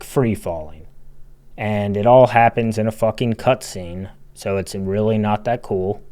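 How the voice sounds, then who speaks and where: A man narrates an opinionated video essay in a fairly low voice. His delivery is blunt and casual, like a critic speaking his mind. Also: a little mellow.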